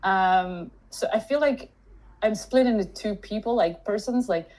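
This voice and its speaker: A woman's voice: medium pitch, a little slow, darkish tone, poor audio. A woman in her early thirties from Belgium, co-hosting a casual podcast, rambling with lots of fillers and giggles. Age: 30s. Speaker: co-host.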